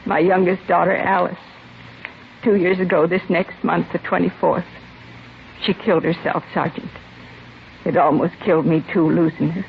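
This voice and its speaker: An American woman acting the part of a grieving, resigned mother in her late forties, subdued and halting, in a 1950s radio drama. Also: fairly low pitch, fairly even intonation, gravelly. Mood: sorrowful, resigned. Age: middle-aged. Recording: dull, noisy vintage radio recording.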